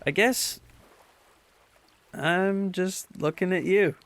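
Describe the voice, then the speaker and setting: A man's voice: high-pitched, slow, fairly bright tone, full character voice. Man acting an earnest, bookish teenage boy. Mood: shy, smitten. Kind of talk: audio drama dialogue.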